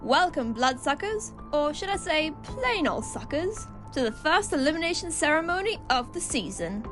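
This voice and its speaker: A woman voicing a theatrical, mocking host of a spooky cartoon contest, announcing in a showy, drawn-out way. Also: high voice, big pitch swings, fairly bright tone, faint music behind. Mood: gloating. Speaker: game show host. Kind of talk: scripted animated show announcing.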